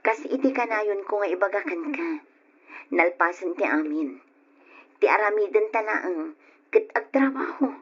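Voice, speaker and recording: A woman's voice: mid-range voice, expressive, unhurried, long pauses, breathy edge. A woman playing a burdened but determined, practical wife in an old radio drama, speaking earnestly and resolutely. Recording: dull, lo-fi old radio recording.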